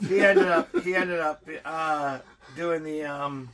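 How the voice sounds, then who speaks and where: A man with a somewhat high voice runs through a casual wrestling talk show. He is animated and jokey, often stumbling and restarting as he rambles. Faint noise sits behind the voice. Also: takes it slowly. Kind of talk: casual chat and rundown of rankings. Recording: clear background room noise.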